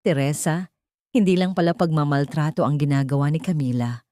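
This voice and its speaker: A woman's voice: low voice, steady tone, a little fast, dark tone, no room sound, clean studio sound, lightly acted. A woman with a Filipino accent voicing an earnest, urgent male lawyer in an audiobook drama. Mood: grave, urgent. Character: earnest male lawyer, alarmed ally exposing a theft. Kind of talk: audiobook character dialogue.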